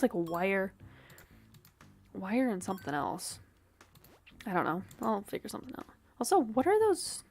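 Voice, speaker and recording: A woman streamer with a sniffly, dry throat, casually thinking aloud and swearing while she plays a game. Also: mid-range voice. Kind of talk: casual game livestream commentary.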